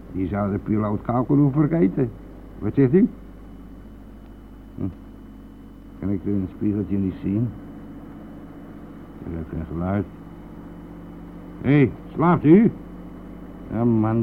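An actor playing a garrulous, colloquial driver in a Dutch radio play, chatty and insistent, nosy and rough-edged. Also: male voice, mid-range voice, close to an everyday voice. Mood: jovial, gossipy, then puzzled, impatient. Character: chatty, nosy male driver, crude and jovial, pestering silent passenger. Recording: tinny band-limited old radio recording, vehicle noise.

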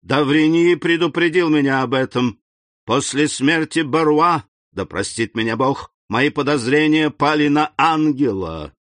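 A man reading the part of a stern, cold middle-aged royal prosecutor who speaks in an icy, deliberate, accusing manner. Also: on the low side, dry recording. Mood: fierce accusing.

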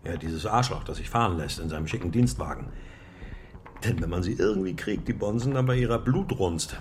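Gruff older uncle over background noise. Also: male voice, low-pitched, animated, a little fast. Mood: bitter. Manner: curt, grumbling, self-righteous. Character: gruff, stubborn older uncle, self-appointed paranoid avenger. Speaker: radio play actor. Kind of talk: German radio drama dialogue.

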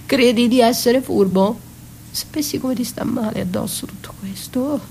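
An actress playing a wounded, betrayed wife in a theatrical monologue, bitter and defiant, with halting, intense delivery. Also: female voice, a little high, warm tone, slight background noise. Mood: defiant, hurt. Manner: dramatic, halting, intense, dropping to breathy whispers. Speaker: stage actress performing a solo.

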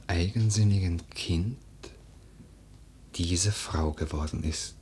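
An actor playing a brooding, eloquent, lordly widower in a literary radio play, with lyrical, deliberate, declamatory delivery. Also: male voice, sits low, a little hiss or hum. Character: brooding, eloquent widower, poetic and lordly, drawn to a young woman.

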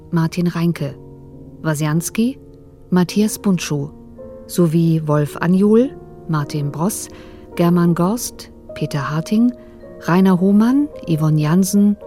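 Slow, warm-voiced female announcer. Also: lowish voice. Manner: slow, deliberate reading. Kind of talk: closing credits announcement.

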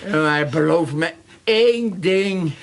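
An actor playing a cowardly, servile informer who pleads and grovels in a radio drama. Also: male voice, on the high side, slow pace, creaky, a little hiss or hum. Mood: desperate pleading. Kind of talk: Dutch radio drama acting.

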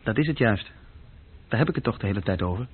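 A thin, phone-like old radio recording of a young clerk with a slightly husky voice. He recounts things in a restless, self-reproaching way, hesitating as he talks. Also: lowish voice, expressive, quick pace. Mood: defensive. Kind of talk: radio play dialogue and recollection.

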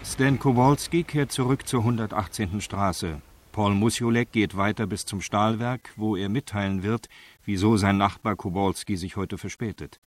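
Male narrator for crime radio drama: fairly low, sober, factual scripted delivery. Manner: sober, reportorial.

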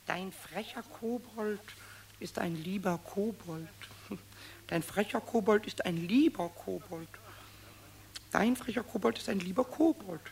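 An actor voicing a small cheeky, soft-hearted kobold who mutters to himself in a creaky high voice, in a children's radio play. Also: male voice, unhurried. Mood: pensive, tickled. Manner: muttering to himself in repeated phrases. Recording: noisy old radio play recording.

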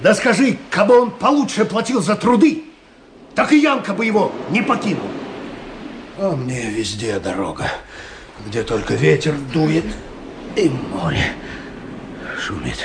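A man's voice: fairly high pitch, very animated, measured pace, long pauses. An actor playing a bold, proud and callous smuggler boatman in a radio drama. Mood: proud, boastful. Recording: noisy sea surf sound effects behind. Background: waves and surf.

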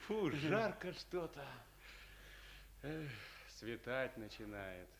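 Mid-pitched, easygoing young lieutenant. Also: male voice, very animated, slow, creaky, a little hiss or hum, full character voice. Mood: relaxed, wistful. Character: easygoing young Soviet lieutenant on a summer night. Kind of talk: 1950s Soviet stage acting for radio.

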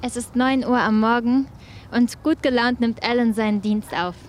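An actress playing a composed, curious radio reporter, narrating and interviewing in a documentary-style drama. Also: female voice, fairly high pitch, steady tone, normal speed, slight background noise, lightly acted.

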